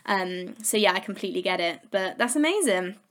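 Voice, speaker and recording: A young woman hosting a relaxed interview chat. She talks in a chatty, easygoing way, repeating words and leaning on "like". Her pitch sits in the middle of the range. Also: brisk. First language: Portuguese. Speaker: podcast host.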